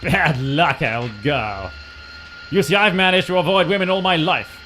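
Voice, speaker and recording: A man's voice: a little high, measured pace, heavy background noise. A man in an amateur comic radio play voicing a plummy, stiff-upper-lip British flying ace with clipped upper-class bluster. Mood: heroic.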